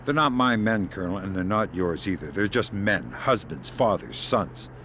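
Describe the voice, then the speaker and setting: An actor playing a calm, middle-aged American covert operative in a video game, speaking in a dry, deadpan way. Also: male voice, on the low side, moderate pace, a little hiss or hum. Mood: calm, persuasive.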